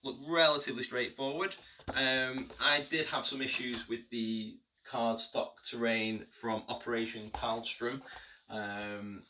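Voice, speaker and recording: A man's voice: medium pitch, fairly even intonation, a little slow, pushed and bright, far from the mic, a little hiss or hum, rough recording. A man giving a casual unboxing review of tabletop miniatures, chatty and hesitant, with many ums and restarts.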